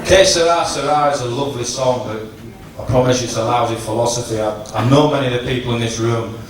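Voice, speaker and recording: A man giving a humorous after-dinner tribute speech to an audience, in a teasing, deliberate storytelling manner. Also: medium pitch. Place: large function room at a dinner, distant microphone. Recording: echoey noisy hall, from far off.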